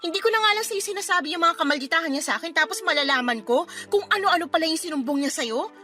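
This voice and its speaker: A woman's voice: extremely high pitch, lively intonation, fast talker, bright, forceful tone, faint music behind, full character voice. A woman playing an indignant, defensive girlfriend in a Filipino radio drama, with emphatic, sarcastic retorts and a Filipino accent. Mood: sarcastic, hurt. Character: indignant girlfriend, defensive, sarcastic, hot-tempered older sister type.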